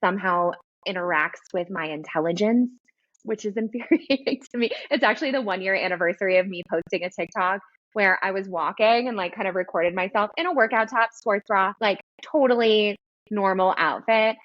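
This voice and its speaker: A woman hosting a casual interview, with a girly voice and a slight lisp, animated and laughing as she talks. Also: medium pitch, fairly quick, no echo, dull audio. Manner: animated, laughs while talking. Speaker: podcast host and business coach.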